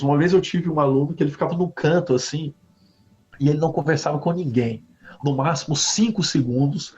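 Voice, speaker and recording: A man from Brazil, a university professor, answering interview questions with animated stories, often ending phrases with a questioning tag. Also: fairly high pitch. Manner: animated storytelling with frequent "né?" tags. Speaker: guest professor. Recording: slightly dull lo-fi audio.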